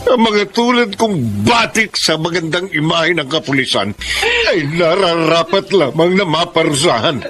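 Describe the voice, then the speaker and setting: A man's voice: on the high side, animated, moderate pace, noisy background, lightly acted. A man voicing a remorseful former police officer and drug boss, narrating his own story in a grave, earnest way. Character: corrupt middle-aged male ex-cop, remorseful. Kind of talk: radio drama acting.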